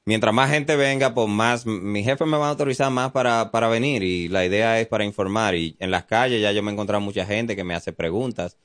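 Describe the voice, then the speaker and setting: Dominican guy cop on radio, real deep voice, calm, lots of um's, dry mic. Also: brisk, hardly any pauses, no echo. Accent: Dominican Spanish. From Dominican Republic. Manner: explanatory, calm, many fillers. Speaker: police officer guest. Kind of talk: informative radio interview. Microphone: studio mic.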